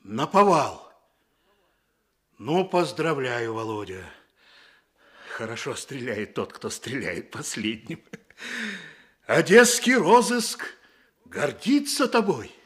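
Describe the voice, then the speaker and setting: Very slow, emphatic police chief. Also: male voice, medium pitch, big pitch swings, fairly bright tone. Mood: proud, excited. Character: police detective chief, proud, ponderous, congratulatory superior. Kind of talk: Soviet radio play acting.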